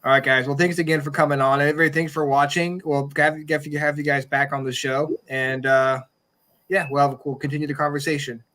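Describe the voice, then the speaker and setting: A man's voice: a little high, level tone, a little fast, pushed and bright. A man hosting a podcast in casual chat, joking and rambling as he goes. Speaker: podcast host. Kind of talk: casual chat, closing a show.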